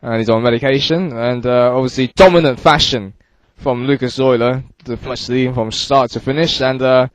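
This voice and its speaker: A man commentating on an online race and interviewing drivers, speaking in a slightly halting, conversational way. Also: mid-range voice, fairly even intonation, dry recording. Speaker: sim racing race commentator. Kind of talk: live sports commentary and interviewing.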